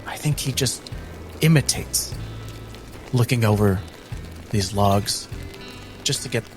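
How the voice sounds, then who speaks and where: A man's voice: fairly low pitch, slow pace, music in the background. A man playing a tabletop game, improvising third-person narration of his character in a thoughtful, searching way.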